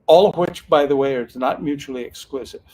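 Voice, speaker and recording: A man's voice: fairly high pitch, fairly quick, dark tone, rough recording. An older man from Northern California, a retired sheriff's officer who now does card readings, telling his story in an earnest, folksy way and often restarting sentences. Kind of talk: casual storytelling talk.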